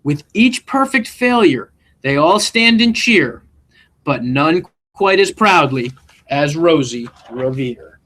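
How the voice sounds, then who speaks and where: Male principal, slightly high voice, expressive picture-book read-aloud with friendly questions to children. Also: slow pace. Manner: expressive, engaging listeners with questions. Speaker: school principal reading aloud.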